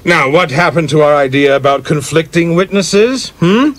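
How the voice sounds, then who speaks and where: A man's voice: on the high side, normal speed, natural voice. A man playing a flamboyant, self-assured young film director in a radio play, with a grandiloquent, theatrical manner. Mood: assertive.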